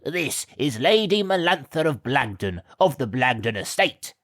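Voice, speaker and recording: A man's voice: mid-range voice, very animated, a little slow, a bit bright, no room sound, clean studio sound, pitched above the performer's own voice. A man voicing a small, spiteful manservant who sneers and snarls. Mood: sneering. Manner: sneering, rude.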